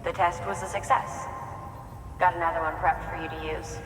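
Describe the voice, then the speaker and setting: Woman acting friendly tech in a game, bit low, big echo, slight noise behind. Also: fairly even intonation, normal speed, fairly bright tone, soft background music, close to an everyday voice. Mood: pleased. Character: friendly female technician reporting good news. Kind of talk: video game dialogue. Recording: echoey hall, distant, faint noise.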